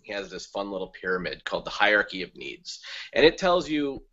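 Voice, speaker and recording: A man chats on a video call, joking and self-deprecating as he pitches his talk. He sounds a bit groggy, with a lively voice that swings up and down. The call audio is dull and lo-fi. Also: fairly quick. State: groggy, just woke up. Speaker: event speaker. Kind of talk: casual video-call chat.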